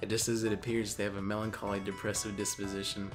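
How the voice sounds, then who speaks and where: A man's voice: on the low side, level tone, fast talker. A man co-hosting a casual comedy read-aloud, commenting with jokey, exaggerated reluctance and breaking into chuckles. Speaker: co-host reading monster encyclopedia entries. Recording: guitar music playing behind. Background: guitar music.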